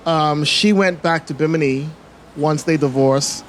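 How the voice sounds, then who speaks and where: A man's voice: on the high side, measured pace, slight background noise. A man in his forties with a Bahamian accent mixed with American, a filmmaker talking reflectively as an interview guest. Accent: Bahamian with American mix. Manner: reflective, many fillers and restarts. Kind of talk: casual interview talk.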